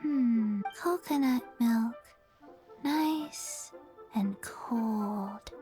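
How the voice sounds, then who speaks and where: A woman voicing a listless little undead girl in a video game, halting, deadpan and literal. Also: fairly high pitch, faint music behind. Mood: listless, blank. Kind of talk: video game character dialogue.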